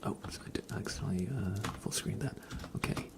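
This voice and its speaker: A man streaming ASMR, chatting softly and gently in a breathy, often whispered voice, unhurried and informal, close to the microphone. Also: low-pitched. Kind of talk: soft-spoken ASMR livestream chat.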